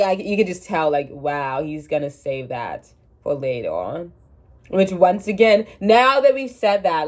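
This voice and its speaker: A woman's voice: fairly low pitch, a little mellow. A young woman in her twenties with a stuffy, congested voice, chatting candidly and rambling to her phone camera, laughing at herself. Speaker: vlogger. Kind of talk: casual confessional chat to camera.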